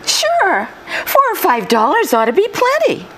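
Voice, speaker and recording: Gushing, high-pitched teenage girlfriend, noisy recording. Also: huge pitch swings, a little slow, pushed and bright, exaggerated voice. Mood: insistent, demanding. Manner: coy, theatrical, insistent. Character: gushing, pushy teenage girlfriend, sweet but demanding. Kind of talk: 1940s radio comedy acting. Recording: live acting with clear background noise.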